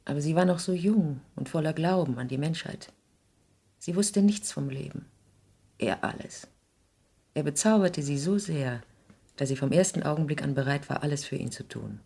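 An actress playing a grave, wounded, protective middle-aged mother, halting and solemn, in a 1960s German radio drama. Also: sits low, vocal fry, dark tone, breathy edge, exaggerated voice. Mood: sorrowful.

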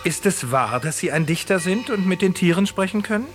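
A polite nosy student in his twenties, higher voice, music under it. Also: animated, a little fast. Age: 20s. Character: young male biology student, smug, with a gold tooth. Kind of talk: radio play acting.

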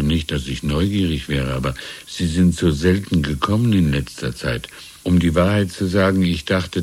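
An actor playing a wistful, deferential old shopkeeper who talks in a halting, musing way, in a 1970s radio drama. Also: male voice, deep voice, darkish tone, slightly rough. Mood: solicitous. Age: older. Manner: halting, musing, repeating himself. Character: wistful, deferential old shopkeeper reminiscing about lost freedom.

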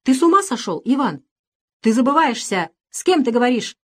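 A woman's voice: medium pitch, expressive, moderate pace, natural voice. Lady doing a haughty old russian noblewoman mother, outraged and commanding, storybook drama. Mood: indignant. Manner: breathless, commanding outbursts. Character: imperious aging Russian noblewoman mother, indignant and wounded.

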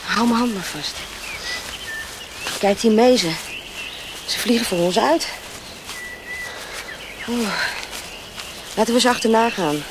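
An actress playing a dreamy, romantic young woman in love in a radio drama, wistful and often hushed and breathy. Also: a little high, slow, long pauses. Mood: excited, eager. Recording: clear outdoor nature noise.

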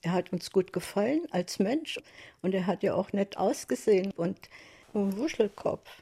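Creaky, husky older woman reminiscing. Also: medium pitch, lively intonation, normal speed, warm tone. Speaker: interviewee. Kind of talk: casual interview reminiscence.

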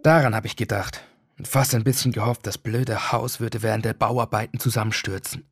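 A man's voice: fairly low pitch, steady tone, a little fast. A man acting a first-person radio drama monologue, telling it in a plain, conversational way. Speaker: actor narrating as a son.

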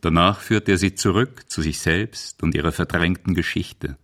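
A man's voice: sits low, normal speed, fairly bright tone. A man reading scripted narration for a radio documentary as its author and narrator.

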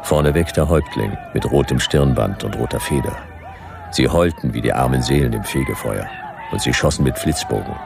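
Radio play lead: laconic noir detective, extremely low gravelly voice, dry deadpan narration. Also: male voice, background noise, exaggerated voice. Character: hardboiled laconic male detective narrating his Western ordeal.

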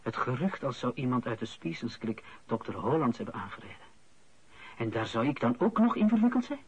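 An actor playing an indignant, flustered official in a radio play, protesting emphatically and stammering. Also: male voice, medium pitch, sing-song, brisk, husky, dry recording, lo-fi sound. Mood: defensive. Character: indignant, flustered male official wrongly accused.

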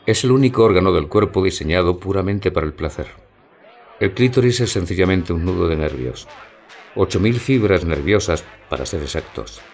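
A male actor plays a factual narrator in an audio play. His voice is fairly deep and his delivery precise and declarative. Soft music sits behind it. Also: lively intonation, moderate pace. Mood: authoritative. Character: factual, authoritative explainer.

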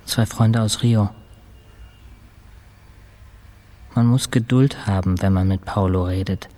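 A man acting a weary, embittered intensive-care patient, narrating a radio drama in terse, deliberate fragments. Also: on the low side, dark tone, natural voice. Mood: brooding. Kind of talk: scripted first-person radio drama narration.

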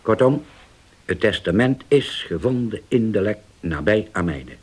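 An actor playing a grave older uncle in a radio drama, deliberate and halting, once vengeful and now forgiving. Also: male voice, low voice, slightly rough, heavily acted.

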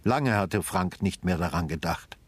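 A man's voice: deep voice, expressive, measured pace, breathy edge, dry recording, full character voice. A male actor as the sober, reflective narrator of a crime radio drama, reading literary third-person prose. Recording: loud music under the voice. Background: music.

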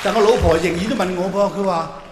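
A man's voice: high-pitched, quick pace, a crowd in the background. A man performing a stand-up monologue, telling it theatrically with mock-earnest advice. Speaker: stand-up comedian. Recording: echoey hall with long reverb, clear noise.

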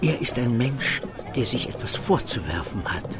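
A man's voice: medium pitch, big pitch swings, normal speed, lightly acted. A man acting a polite, earnest acquaintance in a radio drama, deferential and groping for the right words. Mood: hesitant, confiding. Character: hesitant, polite, earnest male acquaintance of the doctor. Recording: clear music behind the voice.

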